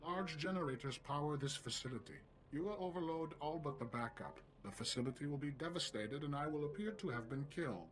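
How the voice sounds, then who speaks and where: A man's voice: a little high, a little hiss or hum, close to an everyday voice. Man acting as a coldly rational, secretive intelligence. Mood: instructing. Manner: formal, deliberate, declarative. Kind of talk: video game voice acting.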